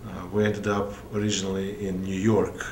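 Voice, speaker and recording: Low slow russian guy being interviewed, lots of pauses, echoey room. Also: completely flat delivery, darkish tone. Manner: halting, with long pauses. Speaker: puppeteer interviewee. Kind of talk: documentary interview. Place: indoor puppet theater, seated interview. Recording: roomy echo with faint noise.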